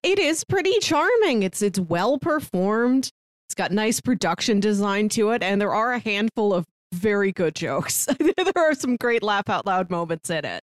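A woman's voice: medium pitch, sing-song, normal speed, dry recording, studio quality. A woman co-hosting a podcast, giving articulate, opinionated takes in a casual film review chat. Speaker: podcast co-host.

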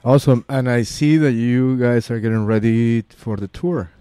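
A man's voice: medium pitch, normal speed, mellow, clear, resonant tone, no echo. A man interviewing casually, feeling his way through questions and offering agreeable, affirming replies.

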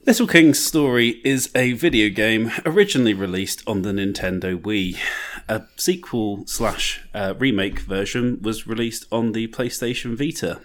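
A man's voice: lowish voice, moderate pace. A man competing in a comedy panel game with casual, sweary banter and improvised reviews. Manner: informal, sweary.

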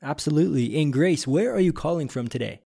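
A man's voice: fairly high pitch, expressive, fast talker, gravelly, dark tone, husky. A man hosting a podcast interview from a studio, an enthusiastic, encouraging interviewer. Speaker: podcast host.

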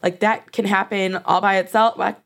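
A woman's voice: medium pitch, fairly even intonation, normal speed, gravelly, a bit bright. A woman therapist co-hosting a casual discussion, speaking frankly and earnestly and stopping to clarify her points for listeners. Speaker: therapist and co-host.

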